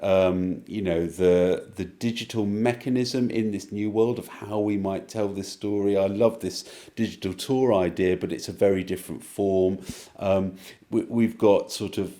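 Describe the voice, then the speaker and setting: A man's voice: lowish voice, fairly even intonation, a little slow, hardly any pauses, mellow. A man giving a reflective interview answer, thoughtful and hesitant as he circles through rephrasings.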